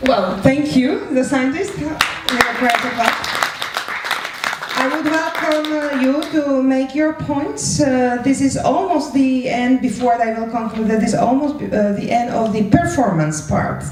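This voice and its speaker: Slow-paced woman moderator, very echoey room. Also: high-pitched. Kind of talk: informal event moderating. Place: large echoey room with an audience. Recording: echoey hall, distant mic, faint noise.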